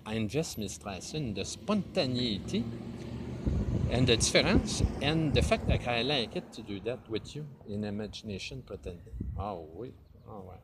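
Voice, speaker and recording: A man in his fifties with a Quebec French accent, a native French speaker who rambles in a self-mocking way and mixes French words into his English. He films himself outdoors with a phone held low at arm's length. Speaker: vlogger. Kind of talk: improvised comedy vlog, talking to an imagined partner. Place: outdoors by a stone wall under trees. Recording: outdoor noise and passing traffic.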